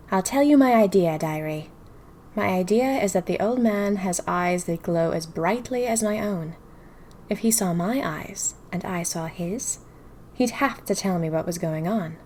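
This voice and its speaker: Casting: female actor as dramatic, curious young woman of the twenties telling her diary, expressive audio-drama storytelling. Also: mid-range voice, measured pace, slight background noise, lightly acted. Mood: suspenseful, bewildered. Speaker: audio fiction narrator. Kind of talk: first-person diary narration in a fiction podcast.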